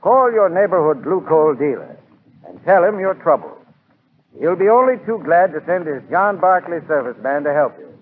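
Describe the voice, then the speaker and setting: A man's voice: a little high, sing-song, normal speed. A man giving instructive advice in a scripted 1940s radio commercial. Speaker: advertising spokesman. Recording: tinny, hissy 1940s radio recording.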